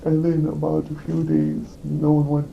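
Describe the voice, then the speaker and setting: A man in a recorded workplace meeting, describing what happened to him in a halting, hesitant way with many fillers and restarts. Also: fairly high pitch, completely flat delivery, vocal fry, highs cut off, slight background noise. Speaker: employee reporting a complaint.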